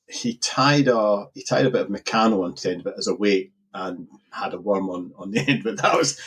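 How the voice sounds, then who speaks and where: A man middle-aged Edinburgh-accented guest, husky and lowish voice, rambling anecdotal podcast talk. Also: poor audio. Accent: Edinburgh Scottish. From Scotland. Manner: rambling, repeats words while searching for them. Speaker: guest angler. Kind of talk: relaxed podcast interview.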